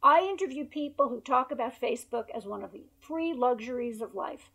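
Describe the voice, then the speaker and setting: A hoarse woman who has lost her voice, speaking emphatically as a researcher guest on a video call interview, through earbuds. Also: fairly high pitch, animated, a little fast, a bit bright, rough recording. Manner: emphatic, repeats points for stress. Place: bright room at home by a window. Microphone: wired earbuds on a video call.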